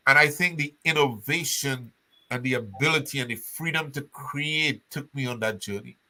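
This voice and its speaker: An older man speaks as an interview guest. His voice is bright and forceful. He tells his story in an animated way, often restarting his sentences. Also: mid-range voice, normal speed, low-quality recording. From Trinidad.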